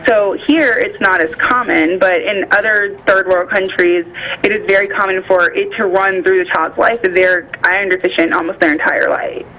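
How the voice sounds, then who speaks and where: A woman's voice: medium pitch, fairly even intonation, normal speed. An American woman speaking by phone as a nutritionist guest, explaining things informally and illustrating points with imagined quotes. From United States. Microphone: phone call. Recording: bright voice over phone line.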